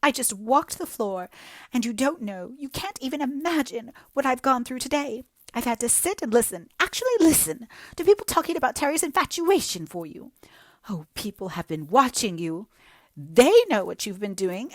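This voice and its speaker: A woman's voice: high voice, no room sound, pitched above the performer's own voice. A woman reading a book aloud and voicing a melodramatic, self-pitying young woman with gushing, theatrical talk. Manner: gushing, theatrical, breathless overstatement.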